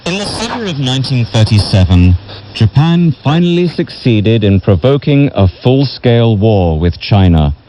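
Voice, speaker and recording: A man reading a history book as a narrator in a measured, solemn way, heard over a shortwave radio broadcast. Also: mid-range voice, sing-song, low-quality recording. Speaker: audiobook narrator. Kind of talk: scripted literary history narration.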